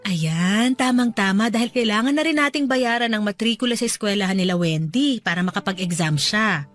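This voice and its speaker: A woman playing a gentle, caring middle-aged wife and mother in a radio drama, speaking affectionately and soothingly. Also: mid-range voice, fairly quick, natural voice. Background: soft music bed.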